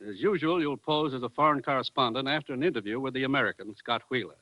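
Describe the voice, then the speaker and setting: An actor playing a brisk, serious commissioner briefing his agent in a businesslike, emphatic way in a 1940s radio drama. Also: medium pitch, no room sound, close to an everyday voice. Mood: grave, urgent. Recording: dull, dry old radio recording.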